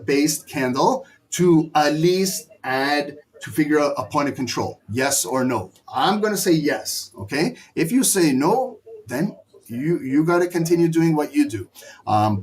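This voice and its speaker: A hoarse middle-aged man teaching a live trading lesson in an emphatic, scolding way. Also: a little high. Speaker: trading instructor.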